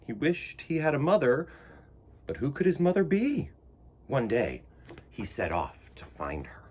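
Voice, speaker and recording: A man hosts storytime for children with a theatrical delivery. His pitch swoops widely and his voice has a husky edge. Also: big pitch swings, unhurried, a little mellow. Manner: theatrical, exclamatory. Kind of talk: expressive picture-book reading for children.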